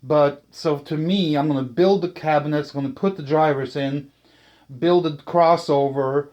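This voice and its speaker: A man talking casually and unscripted about a hobby build, thinking aloud in a hesitant, halting way as he presents. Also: fairly high pitch, fairly even intonation, darkish tone, highs cut off. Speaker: channel host, speaker builder.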